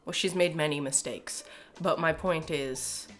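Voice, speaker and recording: A young woman with a Canadian accent talks casually to camera in a fairly low voice. She is emphatic and exasperated, with a sardonic edge, as she shares her opinions. Soft music plays behind her. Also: measured pace. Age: young adult. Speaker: video commentator. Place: small home room, indoors.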